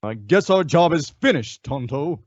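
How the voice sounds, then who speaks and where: An actor playing a confident masked western hero in an old-time radio drama, with assured, declamatory delivery. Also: male voice, on the high side, expressive, normal speed, dry recording, lo-fi sound. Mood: calm farewell.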